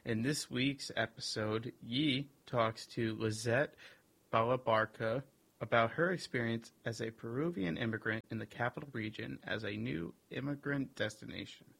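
A male radio host reads a scripted introduction in a measured announcer style. His voice is gravelly, with a husky edge. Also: medium pitch, fairly bright tone.

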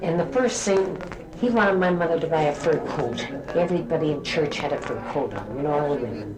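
Woman, very low pitch, chatty, lots of "you know", echoey room, loud crowd behind. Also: animated, brisk. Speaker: interviewee. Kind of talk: oral history recollection.

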